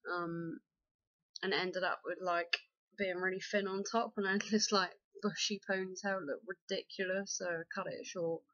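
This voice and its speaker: A man's voice: sits high, little pitch movement, normal speed, bright, forceful tone, no room sound. A young man, chatting to a webcam in a dim bedroom, rambling with many fillers and restarts. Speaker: vlogger. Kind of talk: casual personal chat to camera. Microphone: webcam at close range. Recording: dull lo-fi webcam audio, dry.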